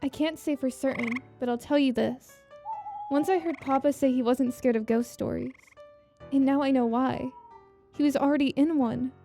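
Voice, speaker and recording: An actress playing a scared young sister in an audio drama, earnest and uneasy. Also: female voice, sits high, fairly even intonation, normal speed, vocal fry, warm tone, faint music behind. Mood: spooked. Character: scared young sister hearing her father's dark diary.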